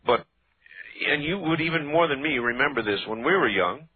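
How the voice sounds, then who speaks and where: A man in his fifties hosting a radio talk show, speaking casually with halting restarts and repeated words. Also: a little high, lively intonation, slightly rough, no room sound. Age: 50s. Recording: tinny broadcast phone-band audio.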